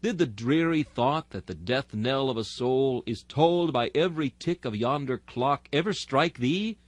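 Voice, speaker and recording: A man's voice: mid-range voice, lively intonation, normal speed, no echo. A man reading an old-fashioned sermon aloud, solemn and exhortatory, with rhetorical delivery. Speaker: sermon reader. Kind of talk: dramatic sermon reading in archaic Victorian English.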